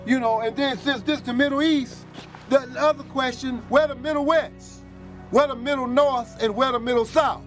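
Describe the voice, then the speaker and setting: A man's voice: very high voice, fairly even intonation, normal speed, soft background music. A man explaining things assertively and interpreting for others.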